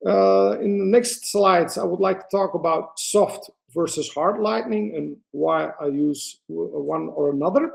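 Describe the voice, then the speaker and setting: Gravelly Belgian man hosting a webinar. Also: high-pitched, unhurried, few pauses. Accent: Belgian Dutch. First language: Dutch. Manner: hesitant, frequent fillers and restarts, non-native phrasing. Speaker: photographer presenting a webinar. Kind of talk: presentation to an online audience.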